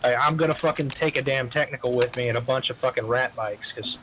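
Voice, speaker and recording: Male gamer, mid-pitched, loose rambling talk full of cursing, slight background hiss and keyboard. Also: fast. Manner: heavily profane, rambling. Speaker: roleplay game player. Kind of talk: casual online game chat. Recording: faint background noise, keyboard typing.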